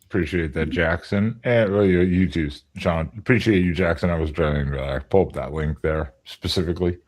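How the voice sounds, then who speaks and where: A man's voice: deep voice, moderate pace. A man hosting a live show in a casual, rambling, joking way. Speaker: host. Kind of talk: casual chat.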